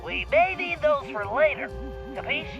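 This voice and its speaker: A man's voice: very high-pitched, very animated, normal speed, gravelly, highs cut off, music in the background, heavily acted. A man voicing a nerdy, bossy turtle gadget whiz in a cartoon game, nasal, fussy and precise, through a radio communicator. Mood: brisk, playful. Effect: radio communicator filter. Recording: band-limited radio communicator sound.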